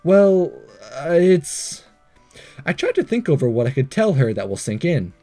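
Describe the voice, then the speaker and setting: Lively, mellow-voiced man doing a playthrough. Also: fairly high pitch, measured pace, faint music behind. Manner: jokey asides, stumbles while reading. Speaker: host of a game playthrough. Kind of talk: reading visual novel text aloud with casual commentary.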